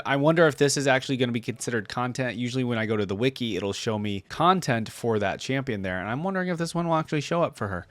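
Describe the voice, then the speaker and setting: A man's voice: medium pitch, a bit bright, no echo. A man giving casual reaction commentary as a video host, talking to his viewers. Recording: music playing behind the voice. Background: background music.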